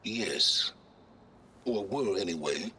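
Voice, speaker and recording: Game casting: condescending, scheming middle-aged researcher; lowish, very expressive pitch, sardonic and impatient. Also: male voice, slow, a little hiss or hum, exaggerated voice. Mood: condescending, guarded. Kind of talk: dramatic video game dialogue.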